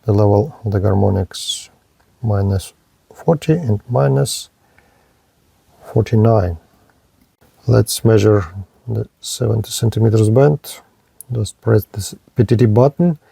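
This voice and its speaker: A man narrates a technical product test in short, clipped phrases. His voice is gravelly and fairly dark, recorded dry and close. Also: on the low side, a little slow, long pauses, dry recording. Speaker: product reviewer. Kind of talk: hands-on radio test narration.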